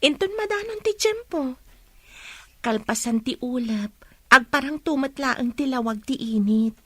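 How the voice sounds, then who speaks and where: A woman playing a gentle, hopeful young woman in love, speaking softly and earnestly in a radio drama. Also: high voice, expressive, measured pace, dry recording, full character voice. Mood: tender.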